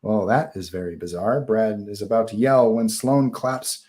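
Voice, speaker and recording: A man's voice: on the low side, normal speed, a little mellow. A man narrating stage directions at a live table read of a horror screenplay, in scripted narration style.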